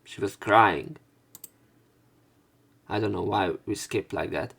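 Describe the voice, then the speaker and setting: Low, gravelly man narrating a game. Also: slow pace, a bit bright. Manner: rambling, hesitant, conversational asides. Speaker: visual novel let's player and narrator. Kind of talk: casual let's play commentary and story read-aloud.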